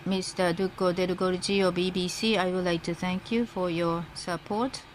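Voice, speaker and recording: Low-voiced woman interpreting a speech. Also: steady tone. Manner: halting, phrase-by-phrase delivery. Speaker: simultaneous interpreter. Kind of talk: live interpretation of a formal speech. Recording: interpreter feed with faint background noise.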